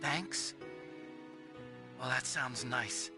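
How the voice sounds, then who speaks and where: An actor playing a nerdy, earnest young tech ally in a video game drama, speaking with open feeling and quiet bravery. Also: male voice, mid-range voice, drawn-out words. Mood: earnest, resigned. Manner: earnest, emotional delivery. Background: game music.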